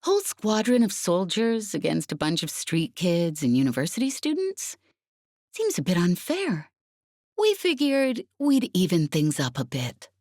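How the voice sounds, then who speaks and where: A woman's voice: fairly low pitch, big pitch swings, a little slow, darkish tone, no room sound, clean studio sound, voice pushed up, exaggerated voice. A woman voicing a brash, cheerful, cocky female starship pilot who drawls and taunts in an audiobook. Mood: drawling, mocking. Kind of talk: audiobook character acting.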